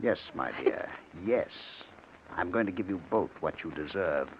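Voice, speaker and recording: Vengeful, sardonic husband, low and highly inflected, vintage radio thriller on a tinny recording. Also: male voice, animated, a little slow, background noise. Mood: cold menace. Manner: sardonic, theatrically menacing. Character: scheming, vengeful husband feigning madness, coldly triumphant. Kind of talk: old-time radio mystery drama acting.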